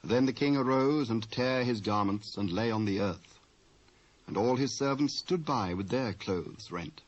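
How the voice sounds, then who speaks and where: A man's voice: fairly low pitch, a little slow. A British man giving a scripted dramatic narration of the Bible in formal archaic English. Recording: dull old cassette transfer.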